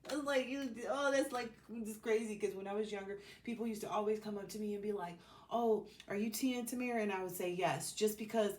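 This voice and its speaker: A woman's voice: mid-range voice, a little fast, darkish tone, slight background noise. An American woman giving a casual, rambling tarot card reading in a blunt, sassy, colloquial way.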